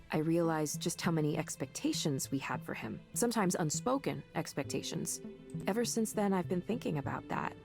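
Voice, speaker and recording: A woman's voice: low voice, creaky, darkish tone. A woman acting an earnest, idealistic tech worker in a visual novel game, speaking in a conversational, impassioned way. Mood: reflective, resolute. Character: earnest, idealistic woman defending her mental-health tech work. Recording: music behind the voice.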